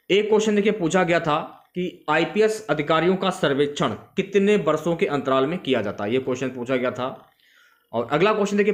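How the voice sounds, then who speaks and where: A man teaching as an exam coach, going through questions and repeating key facts for students. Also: fairly high pitch. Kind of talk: instructional exam question review.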